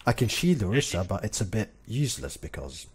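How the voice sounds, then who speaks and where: Calm low man, British-tinged accent. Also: a little fast, slightly rough. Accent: non-native English with British tinge. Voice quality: calm, polite, encouraging. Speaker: gamer in team voice chat. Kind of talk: casual team chat. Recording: noisy game audio under voice chat.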